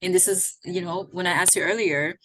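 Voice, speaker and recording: A woman in her thirties with a fairly low voice talks through ideas slowly and earnestly. She co-hosts a casual podcast conversation, and her speech is full of little fillers. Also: fairly even intonation, a bit bright, a little hiss or hum, poor audio. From Haiti. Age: 30s. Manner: reflective, earnest, many "like" and "you know" fillers. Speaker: podcast co-host.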